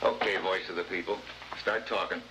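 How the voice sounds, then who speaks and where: An actor playing a tough, wisecracking American special agent, terse and sardonic, in 1950s television adventure style. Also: male voice, deep voice, fairly even intonation, brisk, lightly acted. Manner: hard-boiled, terse, sardonic. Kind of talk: early 1950s TV adventure acting. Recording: noisy old 1950s television soundtrack.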